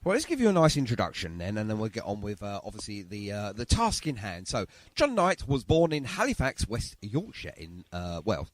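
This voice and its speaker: A man's voice: on the low side, huge pitch swings, a little fast, hardly any pauses, no echo. A man hosting a radio show in a jokey, rambling, self-deprecating chatty style. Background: music.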